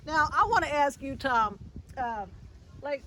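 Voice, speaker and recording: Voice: sits high, lively intonation, unhurried, noisy background. A DJ hosting an interview, upbeat and casual with street slang.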